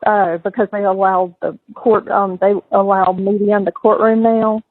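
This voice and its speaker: A woman's voice: mid-range voice, level tone, normal speed, no room sound. A middle-aged woman talking casually on a recorded phone call. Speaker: mother on a call to her son in jail. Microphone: telephone.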